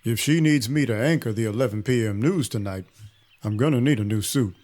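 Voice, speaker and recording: An actor playing a world-weary vampire detective, narrating a noir audio drama in a wry, hard-boiled, deadpan way. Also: male voice, mid-range voice, brisk, close to an everyday voice. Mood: dry. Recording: music behind the voice.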